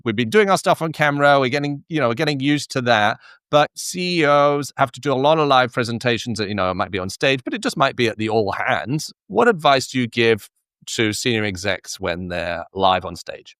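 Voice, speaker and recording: A man hosting an interview, agreeing with his guest and asking questions in a measured, conversational way. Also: mid-range voice, expressive, fairly bright tone, dry recording, clean studio sound. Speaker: interview host.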